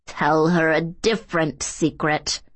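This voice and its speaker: A woman's voice: medium pitch, expressive, measured pace, fairly bright tone, breathy edge. A woman voicing a serious, cautious male fairy from a storybook, terse and grave. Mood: warning.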